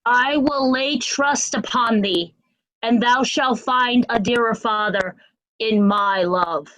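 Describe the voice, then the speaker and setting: A woman's voice: fairly high pitch, slow, fairly bright tone, rough recording. A woman playing a vengeful, scheming duke in a Shakespeare reading. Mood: cold, vengeful. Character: vengeful, scheming Duke of Cornwall, cold male villain. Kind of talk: remote reader's theatre Shakespeare.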